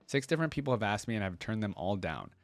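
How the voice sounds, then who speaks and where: Male reaction host, lower-pitched voice, informal delivery, very dry close sound. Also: very animated, quick pace, dry recording. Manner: informal slang, exclaiming between readings. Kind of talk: casual reaction commentary, reading text aloud.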